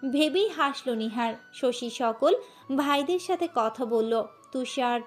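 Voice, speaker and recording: Woman, high voice, story narrator, scripted narration, music underneath. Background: background music.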